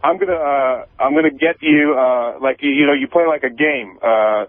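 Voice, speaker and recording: A man's voice: medium pitch, moderate pace, no echo. A man phoning into a radio show, chatting casually with lots of swearing, stammering and defensive. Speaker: phone-in guest and former show staffer. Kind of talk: casual profane chat. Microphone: phone. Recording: tinny, narrow phone line.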